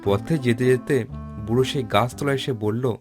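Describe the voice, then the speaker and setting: A man's voice: mid-range voice, normal speed, a little mellow. Male storyteller over prominent music. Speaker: storyteller, narrator. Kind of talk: scripted narration of a folk tale. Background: plucked guitar-like music.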